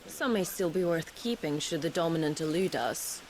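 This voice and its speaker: Cold, imperious noblewoman, lower voice, loud hiss in the game audio. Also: animated, moderate pace.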